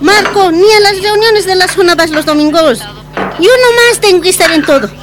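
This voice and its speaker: Harried market-vendor mother, Bolivian accent, very high voice, argumentative, radio drama, clear music bed. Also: normal speed. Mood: exasperated. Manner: pleading, complaining, argumentative. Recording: music behind the voice.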